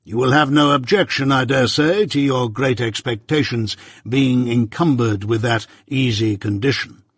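A man playing a stern, imperious middle-aged London lawyer who speaks slowly and with command. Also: medium pitch, husky, dry recording, clean studio sound, natural voice. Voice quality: slow, measured, commanding.

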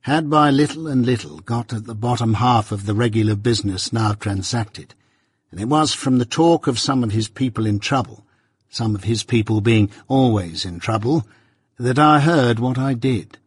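A man reading aloud, voicing a middle-aged law clerk who explains himself slowly and carefully. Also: mid-range voice, slightly rough, no room sound, clean studio sound, natural voice. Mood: earnest.

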